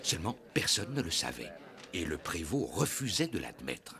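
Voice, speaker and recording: A man narrating a French cartoon story in a measured, scripted storybook style. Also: low-pitched, sing-song, gravelly, pushed and bright, full character voice.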